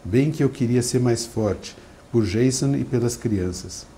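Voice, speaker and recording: A man's voice: mid-range voice, unhurried, dark tone, slight background noise, natural voice. A man reading aloud and voicing a frail, anxious mother who complains and frets. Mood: self-pitying. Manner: fretful, self-pitying.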